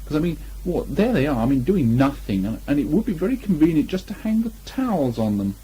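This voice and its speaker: A man's voice: fairly high pitch, big pitch swings, a little fast, warm tone, slightly rough, heavily acted. Man voicing a fussy, chummy storybook rabbit. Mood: cheerful, fussy. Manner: polite, rambling, hemming and hawing. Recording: muffled amateur 1980 home recording.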